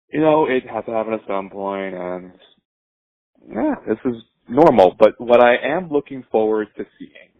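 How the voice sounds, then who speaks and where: A man leaving a voicemail as a caller, giving casual sports opinions in a halting, measured way. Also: lowish voice, no room sound. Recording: tinny phone line voicemail.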